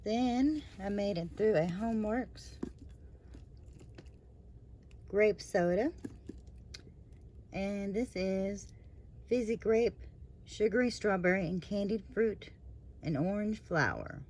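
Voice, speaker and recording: Slightly hoarse woman chatting about candles. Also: medium pitch, slow pace, a little mellow, a little hiss or hum. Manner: rambling, chatty, with fillers and trailing asides. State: slightly hoarse after surgery. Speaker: candle review channel host. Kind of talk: casual product review vlog.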